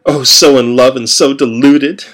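A man's voice: mid-range voice, fairly even intonation, a little slow, a bit bright, pitched above the performer's own voice, close to an everyday voice. A man voicing a giggly, deluded young woman who speaks in an eerie, sing-song way. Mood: dreamy.

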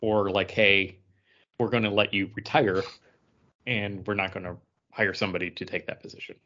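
A man's voice: lowish voice, little pitch movement, normal speed, bright, forceful tone, lo-fi sound. A man co-hosting a podcast in a casual, conversational panel chat. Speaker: podcast co-host.

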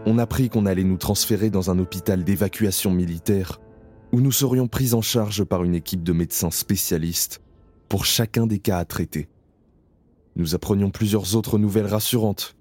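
An actor playing a haunted male camp survivor in a radio drama, speaking in a slow, solemn way. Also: fairly low pitch, dark tone, lightly acted. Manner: slow, solemn, measured delivery. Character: adult man, camp survivor, haunted and grave. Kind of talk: radio drama first-person narration. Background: music.

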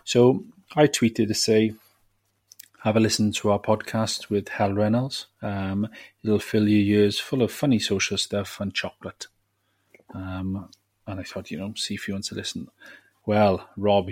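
Low-ish guy on a podcast, rambles with ums, dry close sound. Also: unhurried, no room sound. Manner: relaxed, rambling with many fillers. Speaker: podcast co-host and editor. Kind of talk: casual chat.

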